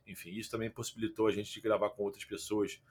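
A young man with a Carioca accent and a fairly low voice, chatting on a podcast over a remote call. He rambles, leans on fillers and restarts his sentences often. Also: level tone, quick pace, slightly rough. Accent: Carioca Portuguese. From Rio de Janeiro, Brazil. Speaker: podcast guest, football content creator. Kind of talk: casual podcast conversation.

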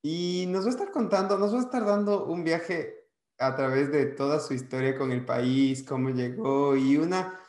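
A man's voice: medium pitch, a little mellow. An upbeat man co-hosting a casual interview, introducing and questioning a guest with enthusiasm. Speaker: podcast co-host. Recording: echoey room.

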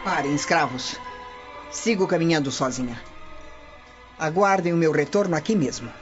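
A woman's voice: low voice, animated, normal speed, faint music behind. A woman with a Brazilian accent playing a haughty, scheming Roman noblewoman in a radio drama, imperious and theatrical. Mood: commanding. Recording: dull lo-fi radio drama audio.

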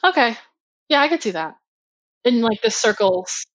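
A woman's voice: fairly high pitch, expressive, a bit bright, no room sound. A woman from Ohio with a Midwestern American accent, chatting on a podcast in a bubbly, questioning way. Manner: bubbly, questioning, quick laughs. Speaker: podcast co-host. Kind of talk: casual podcast chat. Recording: slightly dull lo-fi audio.

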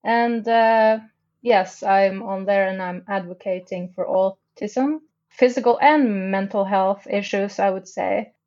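Woman guest from northern Norway, slow, hesitant and self-mocking, muffled recording. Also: mid-range voice, a little mellow. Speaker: podcast guest. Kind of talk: casual interview chat.

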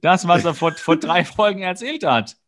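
Higher-voiced man recapping with sarcasm. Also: animated, moderate pace. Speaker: podcast co-host. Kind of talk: casual episode recap banter.

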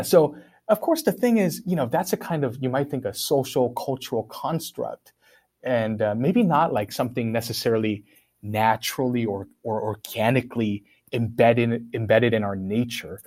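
A man's voice: mid-range voice, lively intonation, normal speed, a little mellow. A man whose first language is Korean, raised in Korea, talking through ideas in a casual academic discussion, with frequent fillers and restarts. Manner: thoughtful, many fillers and restarts.

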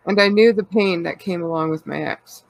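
A woman's voice: lowish voice, moderate pace, vocal fry, poor audio. A Canadian woman with a congested, cold-stuffed voice, chatting candidly and rambling, laughing often. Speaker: podcast co-host. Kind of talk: casual personal chat.

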